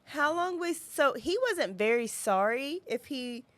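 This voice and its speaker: A woman's voice: high voice, animated, measured pace, no echo. A woman co-hosting a true-crime podcast, chatting casually and interjecting with questions and opinions. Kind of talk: casual reactive chat. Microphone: close podcast microphone.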